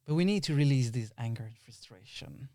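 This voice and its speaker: Mellow man chatting candidly, close dry sound. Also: medium pitch, expressive, fairly quick, dry recording. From Belgium. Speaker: podcast guest, filmmaker. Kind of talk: casual interview chat.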